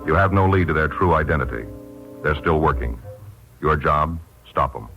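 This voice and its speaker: Loud music runs under an extremely deep male announcer's voice. He narrates gravely and formally, with weighty emphasis, on a dull old radio recording. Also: animated, moderate pace. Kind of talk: solemn 1950s radio narration.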